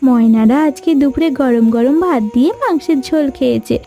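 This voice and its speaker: A woman's voice: high-pitched, a little fast, gravelly, warm tone. A woman voicing an eager, wistful little village girl in a fairy tale cartoon. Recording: music behind the voice.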